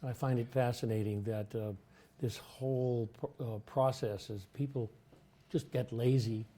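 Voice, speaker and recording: An older man hosting a casual talk show, speaking in a halting way with many fillers and restarts. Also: medium pitch, steady tone, dark tone. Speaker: host. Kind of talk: casual chat. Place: studio.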